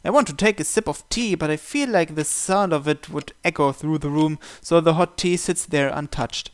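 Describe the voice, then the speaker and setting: A man's voice: fairly high pitch, normal speed, clear, resonant tone. A man reading visual novel narration aloud as he plays, with casual asides. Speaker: video game player reading along.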